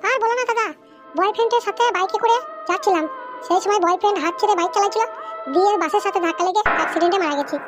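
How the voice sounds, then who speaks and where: A woman's voice: extremely high pitch, racing, music in the background. A woman voicing a chatty young female ghost in a comic cartoon, plaintive and cheeky as she complains. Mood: complaining.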